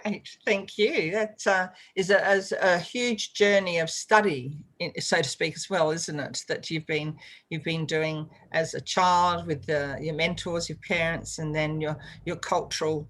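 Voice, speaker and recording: A woman's voice: deep voice, steady tone, unhurried, a bit bright. A woman hosting an interview, encouraging and asking guests to share their stories. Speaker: host.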